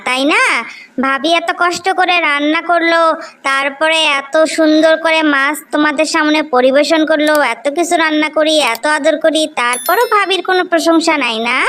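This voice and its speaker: A woman's voice: high-pitched, fairly bright tone. A woman with a Bangladeshi accent voicing an impatient, resentful sister-in-law in a cartoon story, scolding and nagging. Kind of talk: animated moral story dialogue.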